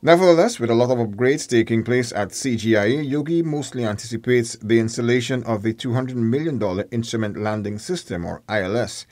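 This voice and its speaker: A man's voice: medium pitch, brisk, hardly any pauses, a little mellow. A man reading scripted narration for a news feature.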